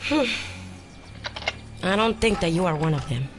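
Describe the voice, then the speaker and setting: An actress playing a brash, sarcastic young hacker, snappy and blunt, in an audio drama. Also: female voice, on the low side, lively intonation, slow. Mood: threatening, wary.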